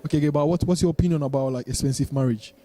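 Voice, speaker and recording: Fast-talking male street interviewer. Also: a little high, mellow. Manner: chatty, fillers and restarts, laughs easily.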